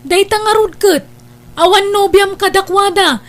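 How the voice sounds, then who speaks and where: A woman's voice: very high-pitched, unhurried. A woman playing a nagging, worried older sister in an audio drama, with an Ilocano accent. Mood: scolding. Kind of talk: Ilocano radio-style audio drama acting.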